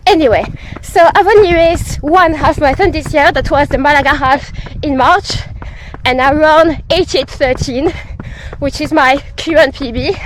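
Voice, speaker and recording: A woman's voice: high-pitched, normal speed, a little hiss or hum, poor audio. A woman with a blocked nose from a head cold, talking plainly and wearily while out on a run. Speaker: running vlogger. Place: outdoors on a run.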